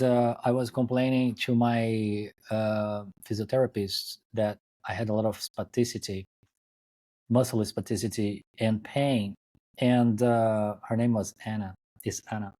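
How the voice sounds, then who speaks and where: Mellow-voiced Brazilian man. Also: medium pitch. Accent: Brazilian Portuguese. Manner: unhurried, frequent fillers and restarts. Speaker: guest yoga teacher. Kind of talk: interview storytelling. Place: home, joining remotely.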